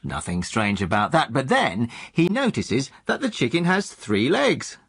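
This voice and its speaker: A man telling a comic story for language learners in an animated, clearly phrased way. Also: mid-range voice, unhurried. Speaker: podcast joke teller.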